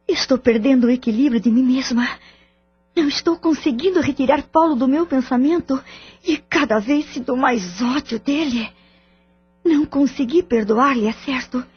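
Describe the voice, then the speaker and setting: A woman voices a troubled middle-aged mother with a high voice, anxious and pleading. The audio is dull and lo-fi, with soft music underneath. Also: close to an everyday voice.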